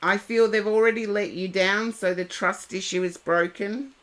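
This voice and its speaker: A woman with a head cold, giving tarot readings and gentle advice to viewers on a live stream. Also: medium pitch, fairly even intonation, poor audio. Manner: slow, unhurried, gently advising viewers by name.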